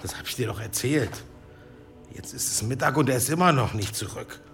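Radio play senior monk: mid-pitched, stern, schoolmasterly, impatient, faint music underneath. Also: male voice, expressive, a little fast, gravelly, a bit bright. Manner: exasperated, schoolmasterly. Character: stern, impatient monk herbalist scolding his assistant. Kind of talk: German radio play acting.

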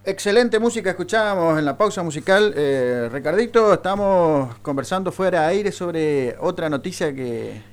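A man's voice: high voice, lively intonation, moderate pace, few pauses. Argentine man hosting a radio talk show. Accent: Argentine Spanish. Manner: teasing, conversational. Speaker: radio host. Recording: FM radio broadcast studio.

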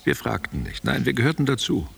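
A man playing a nostalgic, tender old man in a literary radio play, husky and gravelly, speaking intimately and reflectively. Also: medium pitch, big pitch swings, brisk. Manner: intimate, reflective, drifting between memory and direct address. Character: nostalgic old man, former only child, tender and wistful.

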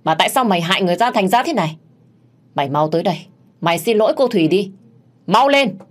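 A woman's voice: fairly low pitch, fast, a little mellow, close to an everyday voice. A woman voicing a domineering older mistress of the house in an audio story, scolding and barking orders. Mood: furious scolding.

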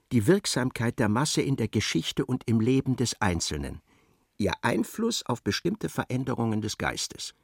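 Gravelly male radio play narrator. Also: mid-range voice, no room sound, studio quality.

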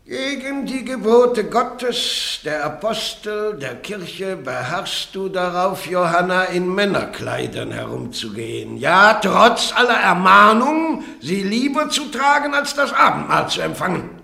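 A man's voice: high-pitched, expressive, measured pace, vocal fry, hardly any pauses, pushed and bright, echoey room, exaggerated voice. An actor playing a solemn church judge who admonishes and threatens an accused woman in a German radio play. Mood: stern. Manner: solemn, admonishing, grows heated. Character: stern churchly prosecutor interrogating a heretic. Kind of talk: 1950s-60s German radio drama.

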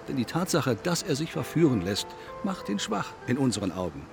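An actor playing a cynical, coolly provocative man in a stylised radio play, smug, needling and deliberate. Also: mid-range voice, big pitch swings, vocal fry, over music. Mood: cool, argumentative.

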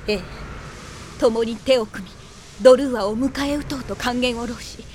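Actress as higher-voiced, solemn noblewoman. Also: normal speed, darkish tone, a little hiss or hum, lightly acted. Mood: grave. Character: gentle, grave young noblewoman. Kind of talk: radio drama acting.

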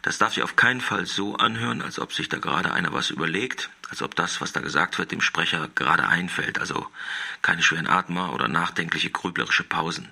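An actor playing a matter-of-fact reader of stage directions in a radio play. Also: male voice, deep voice, a little fast, creaky, bright, forceful tone, slightly rough, exaggerated voice. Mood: matter-of-fact, instructing. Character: dry male voice reading out the script's stage directions. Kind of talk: scripted radio play narration.

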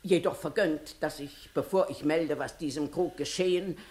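An actress playing a long-winded, indignant village plaintiff in a classical German comedy radio play, declaiming emphatically and plaintively. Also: female voice, deep voice, moderate pace, darkish tone, lightly acted. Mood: aggrieved.

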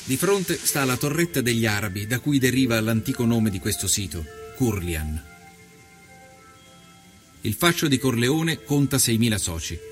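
An actor playing an earnest 1890s journalist, narrating his travels through Sicily in a measured, literary documentary style. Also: mid-range voice, animated, soft background music, natural voice. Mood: measured, descriptive. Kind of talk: scripted documentary narration, read as a first-person travel report.

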